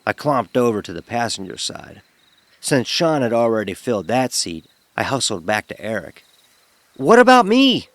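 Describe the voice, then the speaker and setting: A man telling a first-person horror story in a dramatic, expressive narrating style. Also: on the low side, normal speed, dry recording. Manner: expressive, acts out dialogue.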